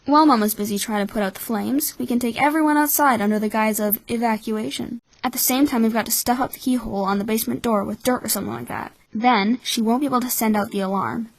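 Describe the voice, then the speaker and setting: A woman voicing a cynical, calculating twelve-year-old orphan boy in a measured, blunt anime-style fan dub. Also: a little high, no room sound. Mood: cool, calculating.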